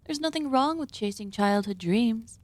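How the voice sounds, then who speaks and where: A woman playing a polite, sinister female cannibal surgeon hosting dinner in an ASMR roleplay, speaking in formal, deliberate phrases. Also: on the high side, expressive, dry recording, close to an everyday voice. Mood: wistful, confiding. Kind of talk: ASMR roleplay, scripted monologue addressed to listener.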